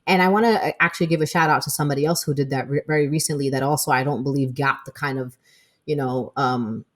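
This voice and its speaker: A woman with a low, deep voice co-hosts a casual podcast. She talks emphatically and tells stories with energy, posing rhetorical questions and answering them herself. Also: fairly even intonation, fast. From Palestinian American. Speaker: podcast co-host. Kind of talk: casual political chat.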